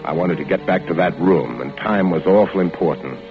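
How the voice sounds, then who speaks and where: A dull vintage radio recording with music carries an anxious American husband in a suspense drama. His voice sits low, and he speaks in a halting, intense, insistent way, like a 1940s radio lead. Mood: tense recounting. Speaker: actor and narrator.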